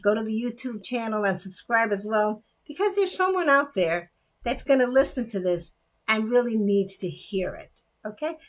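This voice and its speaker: A woman hosting a talk show, chatty and encouraging, speaking straight to her audience. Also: mid-range voice. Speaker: podcast host. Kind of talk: casual chat show hosting.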